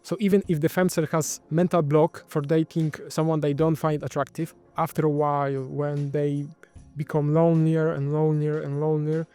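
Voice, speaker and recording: A man's voice: on the high side, mellow. A man with a Polish accent hosting his own channel, speaking in a loose, wry commentary monologue that often trips and corrects itself. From Poland. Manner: rambling, self-correcting, wry. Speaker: channel host.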